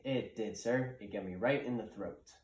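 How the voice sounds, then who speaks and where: A man's voice: lowish voice, moderate pace, darkish tone, lightly acted. A man playing a bawdy, hungover servant in a puppet play, rambling with jokey mock wisdom. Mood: boastful. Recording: roomy, echoing room sound.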